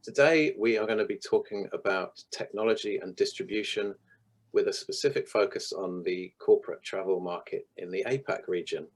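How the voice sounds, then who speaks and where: A man with a very low, deep voice hosts an online panel. He is hoarse and croaky from losing his voice, and his talk is full of ums and small restarts. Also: moderate pace. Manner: hesitant, with frequent ums. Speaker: webinar host. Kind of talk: hosting a video-call panel. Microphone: video call.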